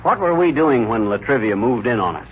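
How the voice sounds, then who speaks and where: An actor playing a folksy, mischievous, wisecracking husband who teases jauntily in 1940s radio comedy. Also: male voice, mid-range voice, lively intonation, a little fast, natural voice. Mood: cheerful. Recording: dull, hissy 1940s radio recording.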